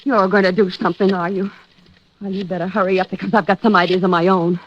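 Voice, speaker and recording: An actress playing a quarrelsome, sarcastic wealthy wife in an old radio drama. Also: female voice, mid-range voice, flat delivery, fast, lo-fi sound, slight background noise, natural voice. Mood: furious. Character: bickering wealthy wife, sharp-tongued socialite. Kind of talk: 1950s radio drama acting.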